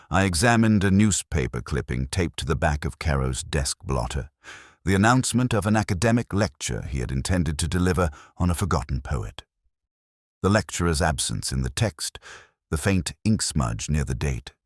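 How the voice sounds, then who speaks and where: A man reading scripted first-person detective fiction in a measured, contemplative, literary manner, as a narrator. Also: low-pitched, no room sound, clean studio sound.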